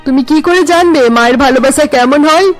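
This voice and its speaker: A woman's voice: sits high, fast talker, faint music behind, close to an everyday voice. A woman playing a scolding, scheming older mother-in-law in a cartoon family melodrama. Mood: angry scolding. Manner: scolding, accusatory. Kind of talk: Bengali cartoon melodrama dubbing.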